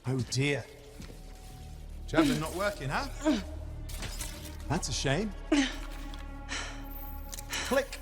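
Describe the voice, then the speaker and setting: An actor playing a smug, resentful male traitor in a video game, taunting theatrically in drawn-out phrases over music. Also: a little high, sing-song, full character voice. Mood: smug, mocking. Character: smug, resentful young male traitor, gleefully betraying allies. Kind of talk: cinematic video game acting.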